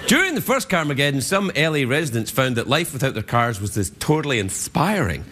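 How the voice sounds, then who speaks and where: A Scottish man hosting a late-night show, joking and laughing at his own gags, a little husky from a cold. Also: mid-range voice, sing-song, fairly quick. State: has a cold, slightly husky. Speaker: talk show host. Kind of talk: late-night monologue and banter. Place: TV studio with live audience. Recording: loud studio audience laughter.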